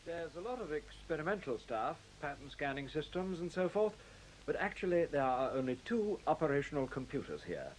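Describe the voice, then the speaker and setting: A man's voice: fairly high pitch, sing-song, fairly quick, rough recording. An actor in a radio play voicing a fussy, eager male computer engineer who explains things politely and a little hesitantly. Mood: eager explaining. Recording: faint hiss on old radio recording.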